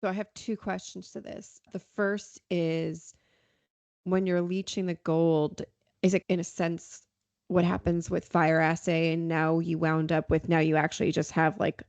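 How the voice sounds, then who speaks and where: A woman's voice: on the low side, little pitch movement, a little slow, vocal fry, a little mellow, no echo, muffled recording. A woman hosting a conversational technical interview, engaged and building her questions step by step. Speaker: podcast host interviewer.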